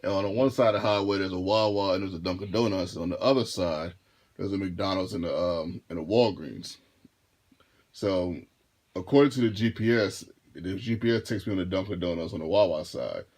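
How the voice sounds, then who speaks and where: A man in his thirties with a deep, low voice, chatting straight to the camera in a loose storytime. He takes his time, repeats himself and circles back mid-sentence in an easygoing way. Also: brisk, darkish tone. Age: 30s. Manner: laid-back, rambling, repeats and restarts phrases. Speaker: vlogger and rideshare driver. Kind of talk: casual storytime monologue.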